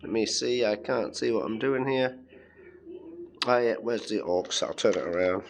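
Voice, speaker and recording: A man's voice: lowish voice, moderate pace, gravelly, a little hiss or hum. An Australian man giving a casual, chatty hands-on tech demonstration, thinking aloud as he handles his gear. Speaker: amateur radio hobbyist presenting a demonstration.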